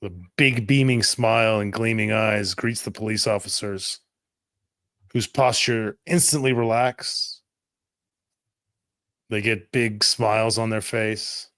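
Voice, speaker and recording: A man runs a tabletop roleplaying game as its narrator. His voice is on the low side with a marked gravelly creak, recorded close and dry. He tells the action in clipped, dramatic phrases. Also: fairly even intonation, slow, fairly bright tone, no echo. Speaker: game master.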